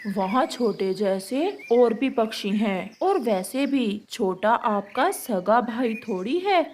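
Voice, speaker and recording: A woman's voice: a little high, expressive, takes it slowly, darkish tone, slight background noise. A woman playing a stern, stubborn father bird with a firm, commanding manner in a cartoon story. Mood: cold resolve.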